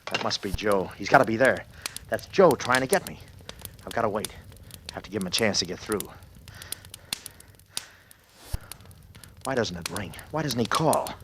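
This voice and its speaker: A man's voice: fairly low pitch, lively intonation, a little fast, close to an everyday voice. An actor playing a desperate, panicked businessman who narrates his ordeal in clipped, urgent sentences, in 1940s radio thriller style. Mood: anxious dread. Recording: noisy old-time radio recording.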